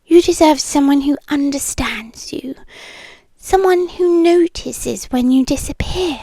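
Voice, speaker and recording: A British woman playing a possessive, doting yandere girlfriend in an intimate ASMR roleplay, soft, coaxing and often whispering close to the mic. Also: sits high, a little slow, dry recording. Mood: jealous, possessive. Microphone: close microphone, dry.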